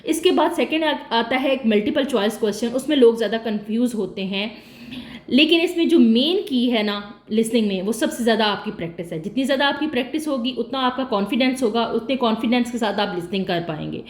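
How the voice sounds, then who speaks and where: A woman talks through exam tips in a casual, explanatory way, often posing a question and then answering it herself. Her voice sits a little high. The room around her is echoey. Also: lively intonation, brisk. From Pakistan. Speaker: test-prep tutor. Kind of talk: casual spoken tutorial.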